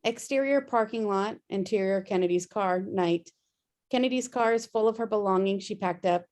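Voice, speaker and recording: A woman's voice: mid-range voice, normal speed, darkish tone. A woman narrating a screenplay's stage directions at a table read.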